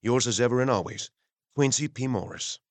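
An actor playing a hearty, gallant young gentleman adventurer, warm and earnest, reading in an audio drama. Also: mid-range voice, fairly quick, no echo, lightly acted. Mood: hearty, affectionate.

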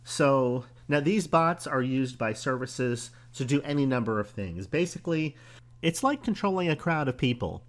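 Hoarse man hosting a solo podcast. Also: medium pitch, lively intonation. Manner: conversational, musing aloud with pauses and small laughs. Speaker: podcast host.

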